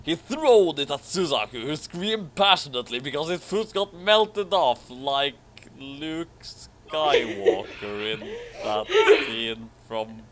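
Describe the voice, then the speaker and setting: A man's voice: a little high, lively intonation, slow pace, few pauses, background noise. A man reading a story aloud with casual, amused commentary. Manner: amused, prone to laughing. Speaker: reader of a fan fiction commentary.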